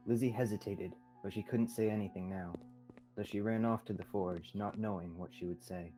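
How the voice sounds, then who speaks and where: Dark-toned young man narrating. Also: on the low side, steady tone, normal speed, low-quality recording. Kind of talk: scripted radio-drama narration. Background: soft music.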